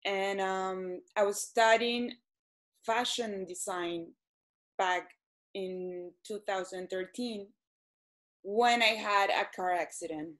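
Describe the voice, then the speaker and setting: A woman, answering interview questions hesitantly with many pauses and ums. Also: mid-range voice, fairly bright tone. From Venezuela. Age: 30s. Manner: hesitant, frequent ums and restarts. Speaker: guest.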